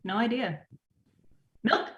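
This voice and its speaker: An actress playing an awkward, earnest store manager in a sitcom table read, mild and a little hesitant. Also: female voice, mid-range voice, takes it slowly, a bit bright, lo-fi sound.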